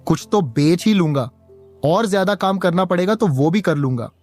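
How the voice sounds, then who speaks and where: Man acting a high-pitched, humble village pot seller. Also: animated, normal speed, over music. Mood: resigned, earnest. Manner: earnest, plaintive. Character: poor, patient, hard-working village pot seller and father. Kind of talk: Hindi cartoon story dialogue.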